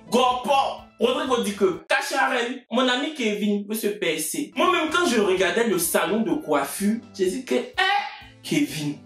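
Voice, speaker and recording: A young man with an Ivorian French accent spins a comic tale from his own life, and his pitch swoops up and down as he plays each character. His voice rings in a roomy space, with soft music under it. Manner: lively, acts out every voice in the story. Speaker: video creator. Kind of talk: comic personal storytelling. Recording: roomy echo, faint music.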